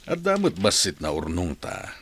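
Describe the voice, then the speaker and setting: Caring male friend, played by a man, extremely low gravelly voice, Ilocano accent, earnest, consoling radio-drama delivery. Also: wildly swooping pitch, normal speed, fairly bright tone, heavily acted. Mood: encouraging. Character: caring, reassuring male friend of a troubled woman.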